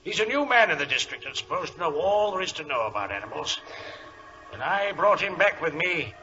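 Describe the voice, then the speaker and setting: An agitated British colonel in an old radio drama. His voice is fairly high and very sharp, blustery and emphatic, on a dull recording with faint noise. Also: big pitch swings, fairly quick, exaggerated voice. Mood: grave, recounting. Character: agitated gentleman colonel, a racehorse owner. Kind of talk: 1940s radio drama acting.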